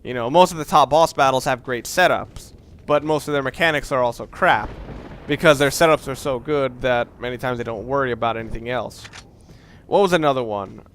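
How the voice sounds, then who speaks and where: A man's voice: medium pitch, brisk, a bit bright, a little hiss or hum. A young man giving casual, rambling, opinionated commentary while playing a video game, with the odd curse word. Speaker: let's play commentator.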